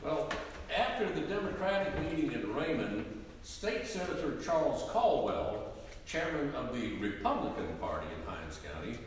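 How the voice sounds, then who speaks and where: Male history narrator for staged reading: mid-pitched, emphatic, deliberate, heavy room echo with faint noise. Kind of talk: scripted historical narration, live dramatic reading. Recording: echoey hall, distant mic, faint noise.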